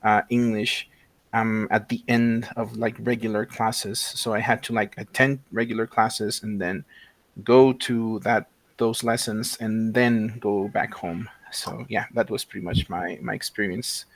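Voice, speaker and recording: A man's voice: fairly low pitch, fairly even intonation, unhurried, vocal fry, no echo. A man in his thirties from Mexico, a guest in a casual interview, talking with many fillers and restarts. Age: 30s.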